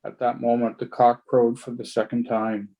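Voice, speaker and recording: A man's voice: medium pitch, flat delivery, normal speed, mellow. A man reading scripture aloud as a church member, narrating from a text.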